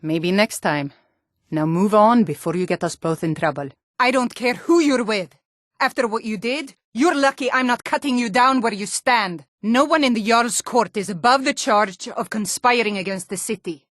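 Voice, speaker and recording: A woman's voice: fairly high pitch, moderate pace, a bit bright, no room sound, clean studio sound, lightly acted. An actress voicing a tough Nord guard and bandit woman in a fantasy video game, stern, threatening and taunting. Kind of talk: video game character voice lines.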